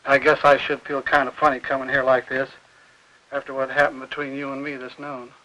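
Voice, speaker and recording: A man's voice: mid-range voice, little pitch movement, fairly quick, sharp tone, lo-fi sound, natural voice. An older actor playing a talkative, fussy mailman in a 1950s film, rambling on in a folksy, hesitant, apologetic way. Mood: sheepish. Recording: noisy vintage 1950s film soundtrack.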